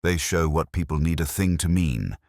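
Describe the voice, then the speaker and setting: Man with a very low voice and animated pitch, for calm, deliberate first-person detective narration. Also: vocal fry, no echo, clean studio sound. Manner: measured, reflective, deliberate. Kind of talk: scripted literary narration.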